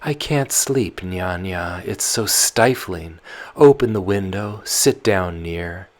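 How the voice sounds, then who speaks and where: A man's voice: low-pitched, animated, slow pace. A man voicing a restless, lovesick young noble girl in a verse story, pleading and breathless.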